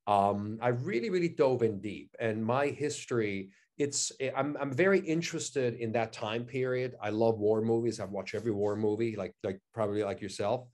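Enthusiastic actor on a video call. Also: male voice, lowish voice, normal speed, hardly any pauses, darkish tone. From Switzerland. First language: German. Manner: enthusiastic, repeats words, many "you know" and "I mean". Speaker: actor, interview guest. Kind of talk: casual interview chat. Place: living room at home, indoors. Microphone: video call, webcam.

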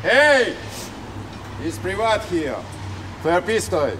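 Slow, cocky Russian-accented foreman. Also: high-pitched, very animated, over music, exaggerated voice, low-quality recording. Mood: hostile. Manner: broken German, short blunt taunting phrases. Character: gruff, cocky Russian foreman, mocking and evasive. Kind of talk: naturalistic radio drama acting.

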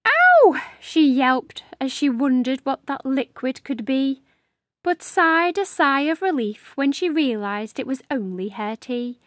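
A woman hosting a children's story time, reading aloud in an expressive, deliberate storytelling manner. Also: high-pitched, no echo, clean studio sound. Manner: animated, deliberate storytelling delivery. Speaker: children's storybook reader and host.

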